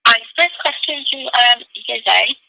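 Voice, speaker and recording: Woman, reporter. Creaky, very bright voice, phoning in a prepared press question. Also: fairly high pitch, animated, unhurried, low-quality recording. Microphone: phone. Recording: telephone conference line.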